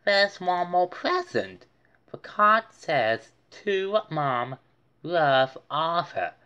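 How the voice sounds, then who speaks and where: A woman's voice: lowish voice, sing-song, very slow, fairly bright tone, smooth, muffled recording, voice pushed up, full character voice. A woman voicing an easygoing, joking uncle from a storybook in a plain, friendly manner.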